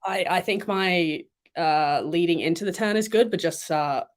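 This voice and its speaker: A young woman from Thailand and Malaysia talks casually as a guest, her voice sitting fairly low for a woman. She rambles easily, with plenty of "like" and "um" between her thoughts. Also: normal speed. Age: young adult. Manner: chatty, relaxed, many fillers and "like"s. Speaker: guest swimmer. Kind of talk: casual interview chat.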